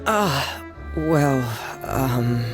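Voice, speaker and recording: A woman voicing a shy, withdrawn teenage boy in an anime-style game, halting and hesitant. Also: very deep voice, animated, over music, exaggerated voice.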